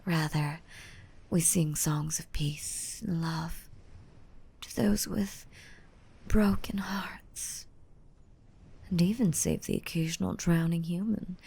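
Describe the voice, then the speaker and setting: Woman acting as a tender mermaid, audio roleplay, breathy whisper, creaky edges, doting and soothing. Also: on the low side, steady tone, takes it slowly, long pauses, mellow, heavily acted. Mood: calm, reassuring. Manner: soothing, doting, uses pet names. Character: gentle, nurturing mermaid rescuing a drowning human. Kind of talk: roleplay ASMR audio drama.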